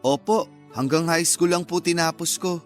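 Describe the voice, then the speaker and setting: A male actor plays a working-class Filipino youth of nineteen in a radio drama. His voice is high for a man. He speaks politely and modestly, with friendly eagerness, over faint music. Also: fairly even intonation, moderate pace, close to an everyday voice. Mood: humble. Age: young adult. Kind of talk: Tagalog radio drama acting.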